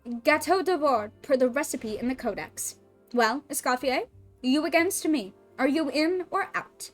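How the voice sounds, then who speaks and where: A woman's voice: high voice, measured pace, faint music behind. A woman voicing a brash young female rival chef with a put-on French accent, pushy and challenging.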